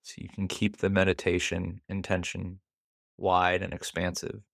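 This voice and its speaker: A man leading a guided meditation, speaking deliberately in short phrases with pauses between them. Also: deep voice, completely flat delivery, creaky, fairly bright tone, no room sound, clean studio sound.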